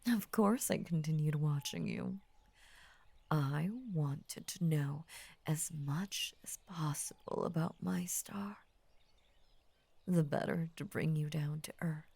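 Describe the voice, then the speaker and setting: A woman voicing an ancient, possessive lamia in intimate roleplay audio, tender and formal, with a breathy husky voice that often drops into a whisper. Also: sits low, animated, full character voice. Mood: wistful, obsessive. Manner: slow, tender, formal, hushed obsessive devotion. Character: ancient possessive lamia, lovestruck, devoted and murderous.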